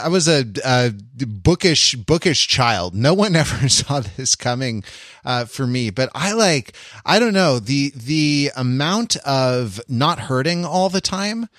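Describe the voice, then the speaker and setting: A man's voice: mid-range voice, fairly bright tone, no room sound, studio quality. A man in his forties chatting casually as a podcast host, rambling and often interrupting and repeating himself. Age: 40s. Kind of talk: casual chat.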